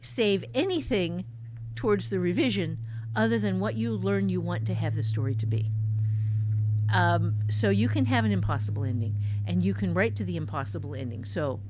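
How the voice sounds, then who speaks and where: A woman hosting an informal talk as a writing teacher, emphatic and halting, often repeating words for stress. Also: lowish voice, expressive, a little mellow, a little hiss or hum, low-quality recording. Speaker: podcast host and fiction-writing teacher. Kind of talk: informal writing advice in conversation.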